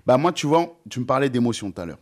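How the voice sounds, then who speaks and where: A man from Martinique interviewing a guest in casual chat, asking quick, short questions. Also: medium pitch, lively intonation.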